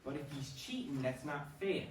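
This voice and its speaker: An actor playing a troubled, earnest baseball player with a brooding, halting delivery in a staged play reading. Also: male voice, fairly high pitch, lively intonation, close to an everyday voice. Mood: troubled, insistent. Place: performance room, recorded from a distance. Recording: roomy, distant mic, faint noise.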